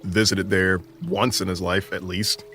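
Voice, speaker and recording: A man with a lowish voice plays a character in a tabletop game. He sounds dry and measured, sitting a little back from the mic with faint noise around him. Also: flat delivery, fairly bright tone. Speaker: player. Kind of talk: in-character tabletop role-play. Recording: slightly distant mic, faint room noise.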